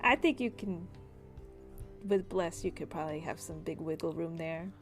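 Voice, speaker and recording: A woman's voice: fairly low pitch, moderate pace. A woman acting as game master, narrating and bantering casually in a tabletop roleplaying game. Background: music.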